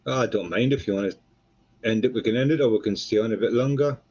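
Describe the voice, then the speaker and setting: A man with a Geordie accent, co-hosting a relaxed live stream and chatting casually, swearing freely and rambling between jokes. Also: fairly low pitch, fast, husky, highs cut off. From North East England.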